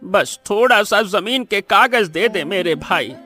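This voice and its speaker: A man acts a scheming village brother in a cartoon drama. His voice is high and sharp, drawn out slowly as he coaxes and dodges. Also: animated, soft background music, full character voice. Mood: wheedling. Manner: coaxing, evasive. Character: wheedling, scheming village brother asking favours.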